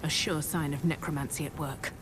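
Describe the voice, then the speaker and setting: A woman's voice: low voice, fairly even intonation, a little fast, noisy background, close to an everyday voice. A woman voicing a wry, observant adventuring companion in a fantasy video game, with understated delivery. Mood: intrigued, wry. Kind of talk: video game dramatic acting.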